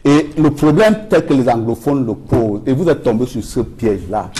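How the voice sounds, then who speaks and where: A man from Cameroon argues on a political talk panel. His voice is husky and sits at a middling pitch, and he drives his points home by repeating himself. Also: lively intonation, moderate pace, dark tone. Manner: insistent, repeats phrases for emphasis. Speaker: panel guest. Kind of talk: heated political debate.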